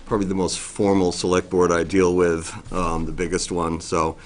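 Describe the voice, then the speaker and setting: A middle-aged man with a very deep, booming voice gives an informal briefing as a forest ranger in a town meeting room. He talks in a flat, even tone, with plenty of ums and restarts. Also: moderate pace, a little hiss or hum. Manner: loud, plainspoken, with frequent ums and restarts.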